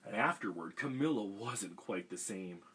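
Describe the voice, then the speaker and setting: A man reading a picture book aloud for a children's storytime, animated and expressive. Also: fairly low pitch, moderate pace, husky.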